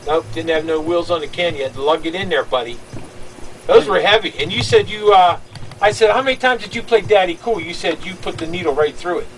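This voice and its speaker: A man hosting a radio oldies show, talking in quick, chummy patter and interviewing his guest. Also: high voice, a bit bright, distant mic, a little hiss or hum, poor audio. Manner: upbeat, chummy, fast patter. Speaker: radio disc jockey host.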